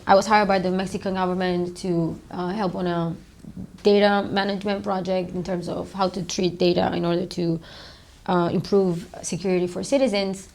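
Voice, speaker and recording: A woman's voice: mid-range voice, darkish tone. A woman in her thirties from Romania, the founder of a startup, answering interview questions in a loose, rambling way with many "um"s and "like"s, in an office.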